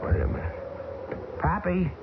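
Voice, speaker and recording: An actor playing a wisecracking American pilot stranded in the Arctic, jaunty and joking, in an old radio drama. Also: male voice, sits high, wildly swooping pitch, moderate pace. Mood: urgent. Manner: jaunty, joking, turning alarmed. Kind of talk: 1970s radio drama acting. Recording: hissy, tinny old radio broadcast.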